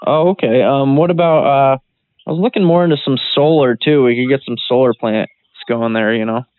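A man's voice: medium pitch, a little fast, no room sound. A man making prank calls in a casual, rambling, feigned earnest manner. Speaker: prank caller. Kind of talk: casual phone prank chat. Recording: band-limited phone line.

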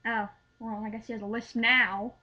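A young teenage girl speaks casually, as if on a prank call. Her voice is high and girlish with a husky edge. The sound is dull, picked up by a webcam in a room at home. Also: flat delivery, moderate pace, pushed and bright. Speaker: prank caller. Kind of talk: casual phone prank chat. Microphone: webcam or laptop microphone.

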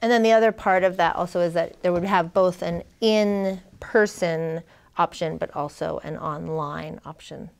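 A woman's voice: lowish voice, fairly even intonation, moderate pace, vocal fry. A woman in her thirties talking casually as a guest in a studio interview. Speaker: business co-owner, interview guest. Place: small TV studio. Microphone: lapel mic.

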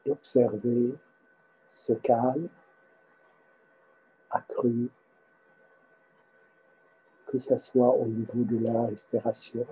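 A man guiding a meditation as its teacher, speaking haltingly in short phrases with pauses between them. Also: mid-range voice, little pitch movement, dark tone, slight background noise, rough recording. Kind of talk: guided meditation instruction.

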